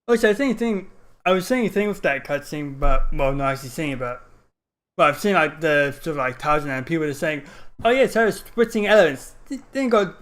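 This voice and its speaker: A man's voice: a little high, expressive, a little fast, fairly bright tone. A man streaming a video game, giving casual, rambling, reactive commentary. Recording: game music behind the voice. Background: video game music.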